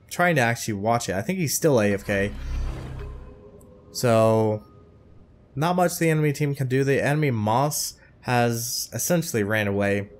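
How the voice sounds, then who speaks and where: A man's voice: mid-range voice, expressive, moderate pace. Stuffed-up man commentating a game. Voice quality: nasal. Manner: matter-of-fact, apologetic asides, frequent uhs. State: stuffed-up nose. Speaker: gameplay commentator. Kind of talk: commentary over own naval game.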